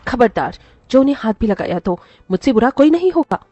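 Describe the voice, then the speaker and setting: A woman's voice: a little high, big pitch swings, fairly quick, warm tone, dry recording, muffled recording. A woman voicing a loving, protective aunt in a dramatised moral story, earnest, emphatic and emotional. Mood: righteous, firm. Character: loving, protective young aunt, firm and motherly.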